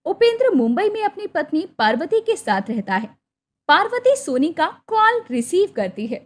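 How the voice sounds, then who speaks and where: A woman's voice: very high-pitched, sing-song, normal speed. A woman reading scripted narration as the storyteller of a cartoon moral tale.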